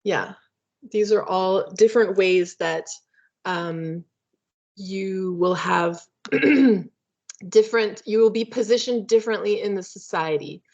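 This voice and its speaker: A woman's voice: mid-range voice, takes it slowly, gravelly, a little mellow. Thoughtful woman presenter on a video call. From Canada. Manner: thoughtful, hesitant, many fillers. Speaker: webinar presenter. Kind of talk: academic webinar talk. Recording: muffled lo-fi video call audio.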